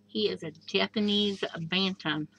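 A woman with a bright, clear voice chats through a craft walkthrough. She talks casually and gushes over each piece, slipping in soft asides and little fillers. She is recorded indoors at a craft table, filmed from above. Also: medium pitch, fairly even intonation, unhurried, poor audio. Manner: chatty and gushing, with many fillers and soft asides. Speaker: crafter showing a handmade junk journal. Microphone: overhead camera above a craft table.

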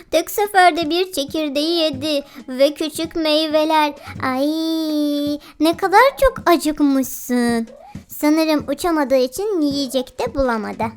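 A woman doing playful game commentary for children, cooing and exclaiming as she talks to animals and young viewers, often giggly. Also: high-pitched. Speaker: children's game video host. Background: background music.

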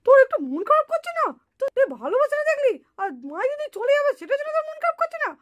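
Woman as an excitable, squeaky village boy puppet. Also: fast talker. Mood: eager. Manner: excitable, eager. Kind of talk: dramatized Bengali puppet-show dialogue.

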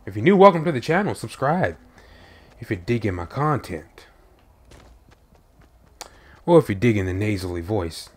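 A man's voice: fairly low pitch, sing-song, moderate pace, pauses often. A young man with a stuffy, nasal voice from a cold, chatting to viewers while he plays. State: congested, recovering from a cold. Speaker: gaming channel host. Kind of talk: casual gameplay commentary.

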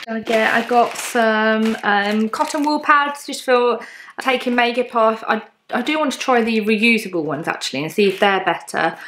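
A woman's voice: medium pitch, a bit bright, slight background noise. A woman, chatting casually to camera at home as she shows off a shopping haul, upbeat and full of ums. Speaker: haul vlogger. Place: bright home living room, indoors.

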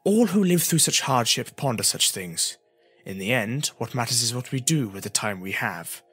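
A man voicing a calm, stoic female warrior, speaking evenly and quietly with grave compassion in a fantasy story, over music. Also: mid-range voice, slightly rough. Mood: remorseful. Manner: even, quiet, measured.

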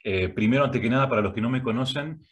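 A man's voice: medium pitch, fast talker. A middle-aged man with an Argentine Spanish accent, giving a prerecorded video talk from a home office. He hesitates often, with many filler sounds and restarts. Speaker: guest speaker, arts education director. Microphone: webcam, head and shoulders framing.

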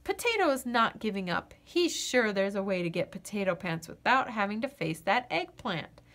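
A woman reading a children's picture book aloud in an expressive, playful way. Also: medium pitch, moderate pace. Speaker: storybook reader.

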